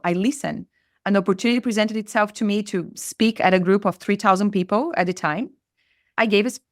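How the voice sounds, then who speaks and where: A woman's voice: mid-range voice, dry recording. Brazilian woman, Portuguese native, earnest podcast guest, dry close sound. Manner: earnest, repeats phrases for emphasis. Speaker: spiritual mentor guest. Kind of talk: reflective podcast conversation.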